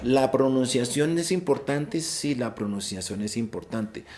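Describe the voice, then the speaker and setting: A man's voice: mid-range voice, expressive, a little slow, darkish tone. Colombian guy explaining exam tips in a virtual session, restarts words a lot, stresses the main points. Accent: Colombian Spanish. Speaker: IELTS exam advisor. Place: online session.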